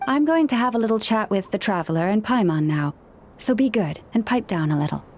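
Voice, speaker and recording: A woman playing a soft-spoken gentle animal whisperer. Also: mid-range voice, animated, brisk, slight background noise, natural voice. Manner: soft, patient, slightly wry. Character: gentle young woman who hears animals. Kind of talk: game character dialogue.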